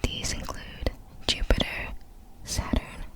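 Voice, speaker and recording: A woman whispering softly in an ASMR style, reading out facts in short, measured, breathy phrases. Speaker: ASMR video creator.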